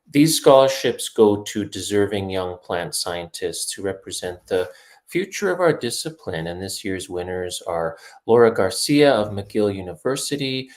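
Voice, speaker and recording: Low-voiced man hosting a video interview. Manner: measured, reads sponsor lists, self-corrects. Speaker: host, editor.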